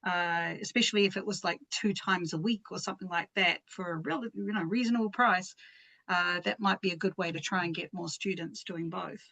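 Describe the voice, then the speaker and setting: An audience member speaks in a middle-pitched voice. They put a question and suggestion to the presenter in plain conversational talk. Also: brisk, creaky, pushed and bright.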